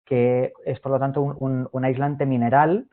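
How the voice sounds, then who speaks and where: A man's voice: mid-range voice, level tone, normal speed, slight background noise. A man giving a hesitant online presentation, full of fillers and restarts. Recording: tinny phone-like line.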